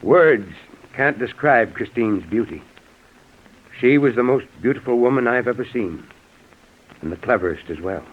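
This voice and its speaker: An actor in a 1940s radio drama playing a courteous, wistful middle-aged doctor with a reflective, measured manner. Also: male voice, mid-range voice, lively intonation, natural voice. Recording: tinny, phone-like 1940s radio recording.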